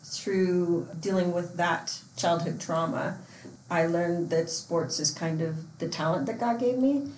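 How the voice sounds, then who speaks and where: A woman's voice: on the low side, takes it slowly, a little mellow, slight background noise. A woman from Portland, Oregon who coaches youth sports and organizes missions trips, speaking earnestly in a casual interview with frequent fillers and restarts. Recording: echoey room with faint ambience.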